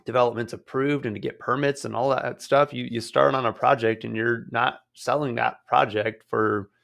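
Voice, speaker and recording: A man hosting a solo real estate podcast in a casual, rambling way with many fillers, his voice hoarse as it recovers. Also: medium pitch, fairly even intonation, moderate pace, fairly bright tone. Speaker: podcast host.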